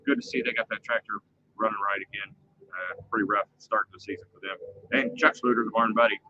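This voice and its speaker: A man's voice: fairly low pitch, quick pace, noisy background. A man co-hosting a casual live show outdoors at a fair, reading out the top finishers class by class. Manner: lively, reads out lists of results. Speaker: co-host recapping pulling results. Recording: slightly dull live stream sound with faint fair ambience.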